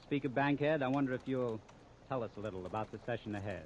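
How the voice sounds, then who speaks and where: A man's voice: mid-range voice, fast. Muffled, noisy 1930s radio newsman. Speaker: radio news analyst and interviewer. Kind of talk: 1930s radio interview introductions. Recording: muffled, noisy 1939 radio disc recording.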